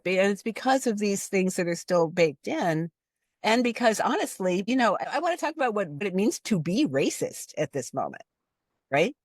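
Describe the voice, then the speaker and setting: A woman in her fifties from Cleveland, a guest expert talking casually, her pitch jumping high when she stresses a point. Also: dry recording, studio quality. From Cleveland, Ohio. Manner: animated, pitch jumps high for emphasis. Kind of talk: casual conversational discussion.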